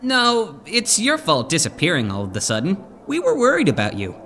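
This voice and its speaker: A performer voicing a friendly, chatty teenage idol boy in an anime fandub, expressive in delivery. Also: medium pitch, moderate pace, full character voice.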